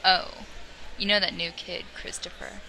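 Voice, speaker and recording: A woman playing a sly, confident, teasing teenage girl with a creaky voice in an audio drama. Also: fairly low pitch, flat delivery, a little slow, pushed and bright. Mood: sly, friendly. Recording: clearly noisy home recording.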